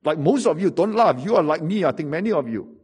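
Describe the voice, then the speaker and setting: A middle-aged preacher with a Singaporean English accent delivers a sermon, mixing wry humor into his storytelling. His voice sits a little high for a man and has a husky, slightly rough texture. He drives his points home through emphatic repetition. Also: animated, fast talker, darkish tone.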